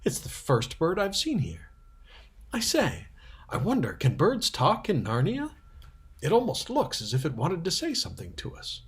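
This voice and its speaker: A man's voice: fairly high pitch, huge pitch swings, normal speed, slightly rough, voice pushed up, full character voice. A man voicing an earnest, kind-hearted young girl in a storybook, speaking emphatically and imploringly. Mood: excited wonder.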